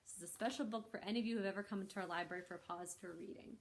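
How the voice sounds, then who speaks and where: A woman's voice: medium pitch, fairly even intonation, rapid-fire. Chatty woman hosting a library storytime. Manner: chatty, informal. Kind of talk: casual introduction to a children's read-aloud.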